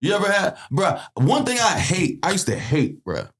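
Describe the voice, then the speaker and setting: Young man, co-host, casual comedic chat, slangy and teasing, very animated pitch. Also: moderate pace, breathy edge. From Haitian family, raised in the US. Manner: laid-back, slangy, teasing. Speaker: podcast co-host.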